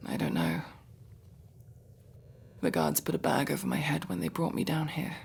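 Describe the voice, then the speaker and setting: A woman playing a prickly but protective masked elf in a fantasy audio roleplay, sharp-tongued and intense. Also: sits low, sing-song, normal speed, vocal fry, slight background noise, full character voice. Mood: relieved, scolding. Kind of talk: dramatic ASMR fantasy roleplay acting.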